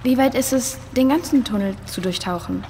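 A woman's voice: fairly high pitch, fairly quick, warm tone, close to an everyday voice. A woman playing a caring, curious girl in a children's adventure audio play. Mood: uneasy. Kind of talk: children's audio drama acting. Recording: long cave-like reverb, noisy water ambience.

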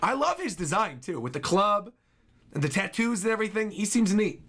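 Enthusiastic young man, lively slightly husky voice. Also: high voice, brisk. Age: young adult. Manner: enthusiastic, repeats phrases. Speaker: streamer reacting to game trailers. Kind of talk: casual reaction commentary. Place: small home room, indoors. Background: game trailer music.

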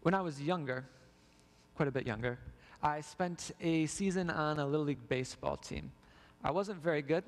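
A young man delivers a storytelling sermon in a slightly high voice. His words ring out with the long reverb of a large church sanctuary, picked up by a body mic as he walks the stage. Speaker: preacher.

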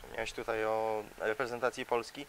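A man with a low, gravelly voice poses long, leading questions, padding them with hesitation sounds. He sits off-camera in a gym, and a faint noise runs under his words. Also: little pitch movement, brisk, a bit bright, rough recording. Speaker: interviewer. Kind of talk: sports interview questions.

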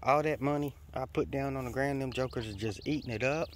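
A man's voice: mid-range voice, a little fast, slight background noise. A man, chatting casually and rambling with many fillers while filming himself on a phone outdoors in a farmyard. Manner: rambling, many fillers, folksy Southern talk. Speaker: vlogger. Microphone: phone held at arm's length.

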